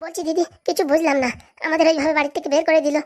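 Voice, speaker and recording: Woman playing a high, grumbling cartoon schemer. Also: little pitch movement, very fast, darkish tone, natural voice. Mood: resentful. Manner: grumbling, brazen. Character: scheming, resentful evicted woman. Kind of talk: comic cartoon dialogue.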